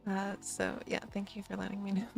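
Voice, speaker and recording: A tired woman with a slightly raspy low voice, chatting in a sleepy, giggly, rambling way as a streamer on a late-night stream. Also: level tone, moderate pace, mellow, soft background music. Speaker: VTuber streamer.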